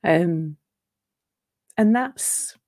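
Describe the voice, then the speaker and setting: Woman, gentle reflective coach, slightly croaky, lower voice. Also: expressive, extremely slow. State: slightly croaky from a sore throat. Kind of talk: live talk on relationships.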